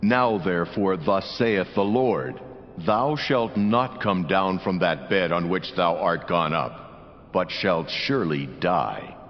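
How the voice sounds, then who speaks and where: Authoritative bible angel, very low voice, big reverb, slightly muffled. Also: male voice, expressive. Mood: solemn. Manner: slow, weighty pronouncement. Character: solemn, authoritative male angel of the Lord. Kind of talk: dramatized Bible audiobook acting. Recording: cavernous long reverb, slightly dull.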